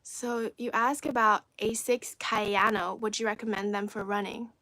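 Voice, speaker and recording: A woman from China whose first language is Cantonese, chatting casually on a livestream into a phone, reading viewer comments aloud and often dropping to soft half-whispered asides. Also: medium pitch, steady tone, unhurried, no room sound, rough recording. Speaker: livestream host.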